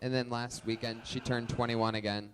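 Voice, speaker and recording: A man hosting a comedy show, teasing his guests in excitable banter. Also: medium pitch, moderate pace, background noise. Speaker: podcast host.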